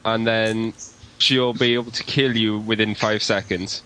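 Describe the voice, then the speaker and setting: Slightly low-pitched man explaining casually. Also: level tone, unhurried, bright, forceful tone, clear, resonant tone, low-quality recording. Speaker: podcast participant. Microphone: Skype call.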